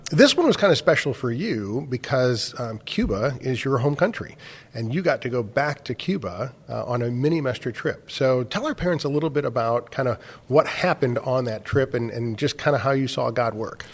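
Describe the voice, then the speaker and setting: A man's voice: mid-range voice, breathy edge. A man hosting a podcast, speaking in a conversational interview style. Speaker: podcast host.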